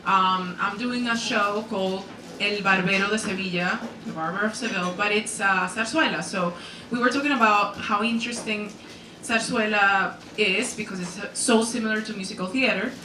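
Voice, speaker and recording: A Venezuelan-accented soprano, a native Spanish speaker, talking casually and self-deprecatingly before singing at a small salon. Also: female voice, medium pitch, normal speed. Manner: chatty, self-deprecating, frequent ums. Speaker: guest soprano. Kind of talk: casual onstage introduction. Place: small salon performance venue. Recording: roomy, distant, noisy live room.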